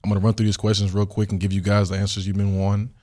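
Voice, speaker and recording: A young man with a hoarse, worn voice, hosting a solo question-and-answer podcast in a studio, talking in a relaxed, rambling way with many fillers. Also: on the low side, rapid-fire. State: hoarse, recovering voice. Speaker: podcast host.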